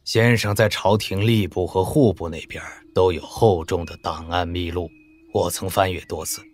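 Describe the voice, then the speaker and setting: A cautious male court official in a wuxia audio drama. His voice is fairly low, and his delivery is measured and formal. He keeps a courteous, reserved manner throughout. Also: fairly even intonation. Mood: measured, candid.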